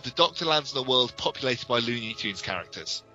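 A man's voice: mid-range voice, lively intonation, a little fast, bright, forceful tone, highs cut off, faint music behind. A man co-hosting a podcast, giving detailed, bookish and opinionated reviews in an informal but partly prepared style. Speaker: podcast co-host. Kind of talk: informal review talk with prepared history segments.

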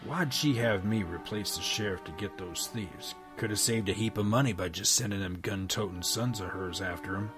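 A man voicing a gruff, laconic bounty hunter in a comic story, speaking low and slow. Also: music in the background, lightly acted.

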